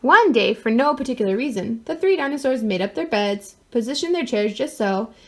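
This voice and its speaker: High-voiced woman reading a children's story. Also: lively intonation, fairly quick, a bit bright. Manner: wry, mock-innocent asides. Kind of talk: expressive children's picture-book read-aloud.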